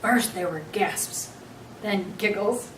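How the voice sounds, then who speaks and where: Female story reader, expressive children's narration, echoey room, slightly distant mic, faint noise. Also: mid-range voice, slow pace. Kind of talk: children's book read aloud, expressive narration.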